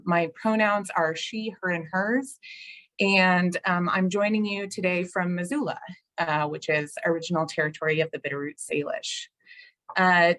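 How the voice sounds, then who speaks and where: A woman hosting an online panel as program officer, friendly and filling pauses with ums and uhs over a video call. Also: fairly low pitch, fairly bright tone. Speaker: program officer and webinar host. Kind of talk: webinar introduction.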